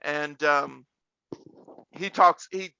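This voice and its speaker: Guy with a high voice chatting about faith, repeats himself for emphasis, super dry kinda dull recording. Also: fairly even intonation, drawn-out words, vocal fry, bright, forceful tone, clean tone, no echo. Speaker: podcast host and preacher. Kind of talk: casual faith conversation.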